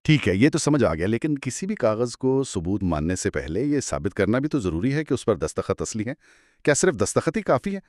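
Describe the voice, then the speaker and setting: A man's voice: mid-range voice, sing-song, fairly quick, a little mellow, no echo, clean studio sound. A man, co-hosting an educational dialogue in a conversational, inquisitive way. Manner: inquisitive, rephrases points in simple terms. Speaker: co-host posing questions.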